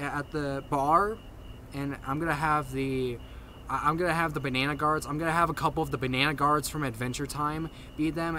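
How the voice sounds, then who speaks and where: A young man who stutters, giving a casual update to camera in a halting way, repeating and restarting his phrases. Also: a little high, bright, forceful tone, a little hiss or hum. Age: young adult. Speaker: video maker giving a channel update. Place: outdoors at night on a paved path.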